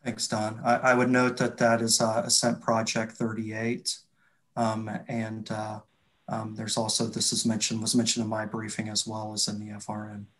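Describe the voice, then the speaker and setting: A middle-aged man moderating a webinar on a video call, reading out questions in a measured, hesitant way. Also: lowish voice, monotone, a bit bright, poor audio. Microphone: computer video-call microphone.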